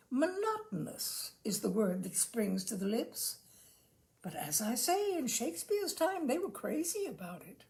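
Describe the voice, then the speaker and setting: A woman hosting a story reading, speaking and reading aloud in an expressive, deliberately phrased way. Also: fairly high pitch, gravelly. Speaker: host and reader of a short-story reading show. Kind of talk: live literary reading aloud with informal introductions.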